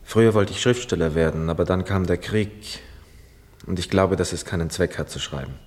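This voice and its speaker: An actor playing a lonely, melancholy young returned soldier in a radio play, quiet, measured and husky, often narrating in the first person. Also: male voice, deep voice, no echo.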